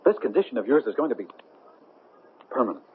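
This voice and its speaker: Flustered scientist, wildly swooping intonation. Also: male voice, mid-range voice, sing-song, fast talker, slightly rough. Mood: resigned, earnest. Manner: stammering, exclaiming, earnest. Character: astonished, flustered male scientist, earnest and cautious. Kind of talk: 1970s radio drama acting. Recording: muffled, noisy old radio broadcast.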